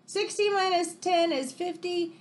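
An American woman in her thirties reading a picture book aloud like a teacher to children, expressive and exclamatory, with chatty questioning asides. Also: very high voice, unhurried, slight background noise. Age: 30s. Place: room in a home, indoors.

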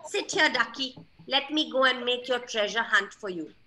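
Woman doing an old grandmother duck, strict, slow and firm, scolding, bright voice. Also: fairly high pitch, slight background noise.

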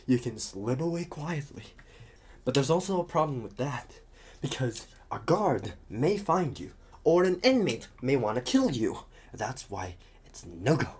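A man playing a talkative, restless psychopath convict in an ASMR roleplay, protective and scheming, with halting, muttered asides. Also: mid-range voice, a little mellow, breathy edge. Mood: scheming, casual.

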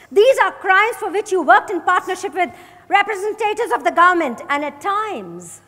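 Woman acting probing high-voiced detective in echoey hall. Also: sharp tone, heavily acted. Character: probing, accusatory woman political detective, deliberate and philosophical. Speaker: stage actor in a dramatized reading. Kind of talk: theatrical dramatized reading. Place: large hotel ballroom stage. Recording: echoey hall, picked up from the audience.

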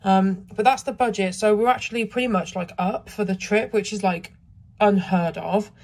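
A woman with a croaky voice from a cold, chatting casually and rambling through a travel vlog with frequent ums. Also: mid-range voice, fairly even intonation, normal speed, darkish tone. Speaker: travel vlogger.

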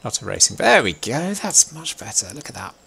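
A man's voice: medium pitch, sing-song, brisk, gravelly, pushed and bright, a little hiss or hum. A young man leading a sailing club game session on a headset mic, chatty, laughing often and thinking aloud as he plays. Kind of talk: casual instructive chat while gaming.

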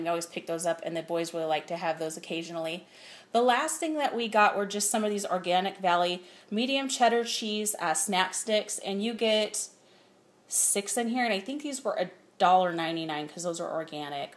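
A chatty woman in her thirties talks through her grocery haul at home, recorded close on a phone camera. She reads prices aloud in a relaxed, easygoing way, stopping for small fillers. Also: lowish voice. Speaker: vlogger. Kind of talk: casual grocery haul chat. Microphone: phone camera held close.

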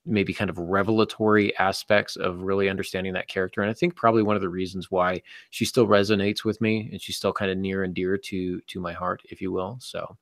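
A middle-aged man hosting a casual discussion about writing, explaining things in a measured way. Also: deep voice, level tone, no room sound, clean studio sound. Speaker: podcast host and novelist. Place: indoor office, on a video call.

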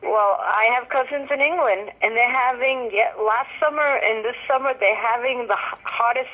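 A woman's voice: a little high, normal speed. A woman working as a call centre agent, chatting casually on a telephone call. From United States. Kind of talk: casual chat on a customer phone call. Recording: dull, noisy telephone line.

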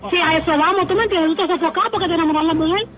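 A Dominican woman argues over the phone with feisty, insistent questions. She has a very high voice and talks fast, over faint music. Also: a bit bright. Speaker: mechanic's wife. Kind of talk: phone argument. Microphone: telephone call.

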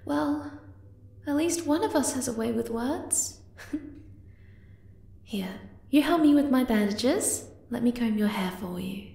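A woman voicing a shy, flustered young moth-girl lover in an ASMR roleplay, hesitant, tender and soft-spoken. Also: fairly high pitch, animated, slow, creaky, pauses often, roomy sound, exaggerated voice. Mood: gentle, comforting.

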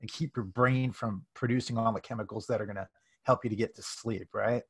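A middle-aged man hosting a podcast interview, relaxed and friendly, often ending with "right?". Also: fairly low pitch, brisk, breathy edge. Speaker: podcast host. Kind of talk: casual conversational interview. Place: home office. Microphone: video call.

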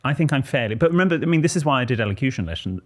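A man in his thirties from Bristol, co-hosting a podcast in a small studio, chatting and reading listener letters aloud with crisp diction and dry wit. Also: mid-range voice, expressive, very fast. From Bristol, England. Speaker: podcast co-host. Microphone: close studio mic on boom arm, headphones.

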